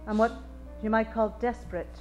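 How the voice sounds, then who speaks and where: A woman acts out a tough, brassy dame in trouble from a noir radio comedy. Her voice sits fairly high and her delivery is melodramatic and hard-boiled. Faint music plays behind her. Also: steady tone, a little fast, darkish tone, dull audio, close to an everyday voice. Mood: urgent, tough. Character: brassy, desperate dame client, strong ex-Olympic discus thrower. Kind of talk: live comedic detective radio play acting.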